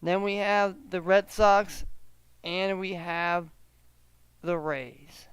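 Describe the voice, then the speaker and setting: A man hosting a casual solo sports betting chat, often halting and repeating himself as he talks through his picks. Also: high voice, steady tone, a bit bright, clear, resonant tone, dry recording. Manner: halting, repeats words, hedging gut calls. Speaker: sports betting show host.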